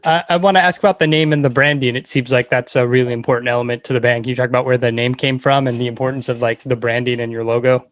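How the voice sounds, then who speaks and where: A man's voice: medium pitch, flat delivery, quick pace, hardly any pauses, no room sound. A man with a mid-range voice hosts a podcast interview. He puts his questions casually and conversationally.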